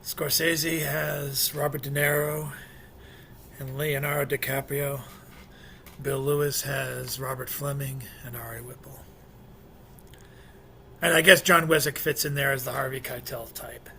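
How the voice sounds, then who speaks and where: A man's voice: mid-range voice, level tone, measured pace, vocal fry, pauses often, sharp tone. A man hosting an online poetry open mic, casually introducing the next readers over a video call. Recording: faint noise on online call.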